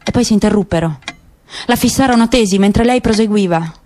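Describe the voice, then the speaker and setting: A woman reading a literary story for radio in a measured, expressive, dramatic way. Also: mid-range voice, slight background noise. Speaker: actress reading a literary narrative. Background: plucked guitar music.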